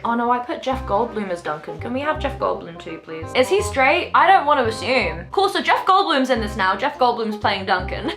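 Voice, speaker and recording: A woman's voice: on the high side, very animated, a little fast, hardly any pauses, music in the background. A young British woman talking casually to camera as a vlogger, playful and informal, often thinking aloud. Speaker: vlogger presenting a creative challenge. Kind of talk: casual chatty vlog talk to camera.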